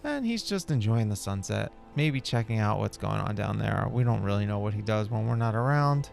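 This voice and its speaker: A man's voice: fairly low pitch, lively intonation, moderate pace, gravelly, darkish tone. A man giving casual, rambling roleplay commentary over a video game. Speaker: gaming video creator. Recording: game music behind the voice. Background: video game music and character dialogue.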